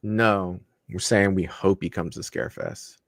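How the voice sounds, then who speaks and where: A man's voice: low-pitched, steady tone, unhurried, gravelly, no echo, studio quality. A man hosting a casual live stream, talking loosely, laughing often and swearing offhand. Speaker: host.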